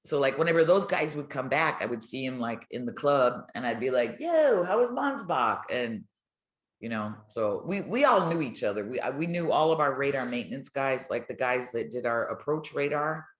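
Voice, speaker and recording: Older woman, deep-pitched voice, chatty interview storytelling, colloquial, echoing room. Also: animated, moderate pace. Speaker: retired air traffic controller, podcast guest.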